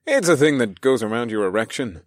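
A man's voice: mid-range voice, sing-song, brisk, dry recording, clean studio sound, lightly acted. A man voicing a confident, teasing male lover in audiobook dialogue.

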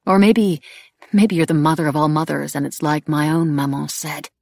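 Audiobook role: bitter young woman, acted by a female narrator, husky and creaky, halting, pleading delivery. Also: low-pitched, steady tone, darkish tone, dry recording. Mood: bitter, challenging. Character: young woman, bitter, desperate, irreverent, praying to absent god. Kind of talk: audiobook character dialogue.

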